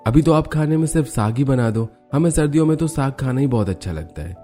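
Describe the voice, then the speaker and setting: A man's voice: mid-range voice, expressive, fast, warm tone, faint music behind, close to an everyday voice. A man playing an opinionated family member in a cartoon story, earnest and insistent. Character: contented, opinionated man of the family praising greens. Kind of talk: Hindi cartoon story dialogue.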